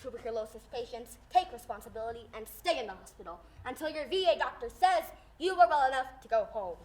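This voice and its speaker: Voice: medium pitch, expressive, fast, close to an everyday voice, poor audio. A young teenager playing a theatrical, folksy co-host in a scripted old-time radio show. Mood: declamatory, eager. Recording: faint background noise.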